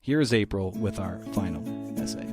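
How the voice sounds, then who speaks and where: A man's voice: low voice, animated, normal speed, vocal fry, over music. A man hosting a podcast, introducing material from notes with casual, conversational asides. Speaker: podcast host. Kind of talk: introducing essays, partly scripted.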